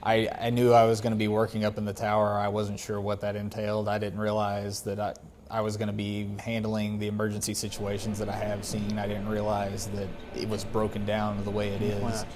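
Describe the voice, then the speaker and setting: A man who works as an air traffic controller, answering interview questions about his job in an earnest, measured way. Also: on the low side, completely flat delivery, a crowd in the background. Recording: faint background noise.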